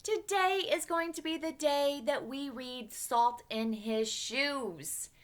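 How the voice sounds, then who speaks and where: A young woman at home teaching children new words and reading them a storybook aloud, with lively expression and soft whispered asides. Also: sits high, takes it slowly. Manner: animated, drops to whispers for asides. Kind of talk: storybook read-aloud with vocabulary lesson.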